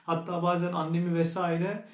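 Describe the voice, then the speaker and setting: Higher-voiced man, casual reviewer, roomy echo. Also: completely flat delivery, normal speed. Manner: conversational, explanatory. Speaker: vlogger reviewing gear. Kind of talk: casual product review to camera.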